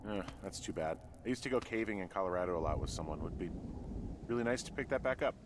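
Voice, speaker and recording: A lowish-voiced, laconic man working as a fire lookout. He is guarded and understated, with dry humor and natural delivery. Outdoor noise is clearly audible behind him. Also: lively intonation, quick pace. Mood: low-key, curious. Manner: dry, low-key, understated. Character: laconic, guarded, dryly joking adult male fire lookout. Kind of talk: naturalistic video game dialogue.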